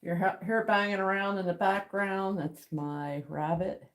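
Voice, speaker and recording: A woman's voice: mid-range voice, a little slow. A woman with a nasal voice hosting a casual live art stream, chatting to viewers in an informal, rambling, self-deprecating way. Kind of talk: casual livestream chat with viewers. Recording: echoey, roomy sound.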